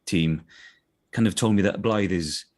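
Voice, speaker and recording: Low-voiced South Welsh man chatting. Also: measured pace, darkish tone. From South Wales. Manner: chatty, hesitant restarts, often asks "do you know what I mean". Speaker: voice actor guest. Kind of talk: casual interview chat.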